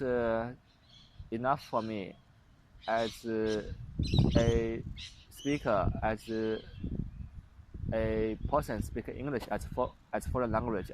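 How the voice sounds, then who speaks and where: Young male vlogger, Chinese accent, hesitant and unpolished, slight background hiss. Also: medium pitch. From China. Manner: halting, many fillers and restarts. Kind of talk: casual monologue to camera.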